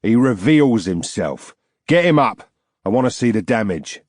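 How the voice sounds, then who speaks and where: Brief: a man doing a pushy, burbling-accented boss who wants the new arrival hauled up; forceful and dry. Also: lowish voice, sing-song, unhurried, no room sound. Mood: impatient eager. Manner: impatient, commanding.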